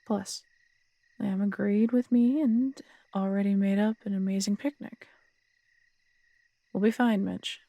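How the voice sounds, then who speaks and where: An actress as a proud, teasing woman detective in a roleplay audio drama. Her voice is creaky and recorded dry and close, with casual, intimate delivery. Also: medium pitch, steady tone, a little slow, long pauses, mellow, dry recording. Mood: reassuring, wry. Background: crickets at night.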